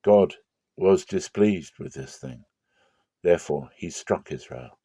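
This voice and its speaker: A man giving a solemn, deliberate dramatic reading of scripture as a narrator. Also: low-pitched, animated, dark tone, husky, dry recording, studio quality. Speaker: scripture reader.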